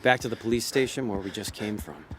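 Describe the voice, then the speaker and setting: A man's voice: fairly low pitch, lively intonation, fast talker, background noise, natural voice. An actor playing a laid-back con man who narrates a noir audio drama in a wry, slangy, hard-boiled way. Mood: scheming, suspicious. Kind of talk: noir audio drama acting with inner monologue.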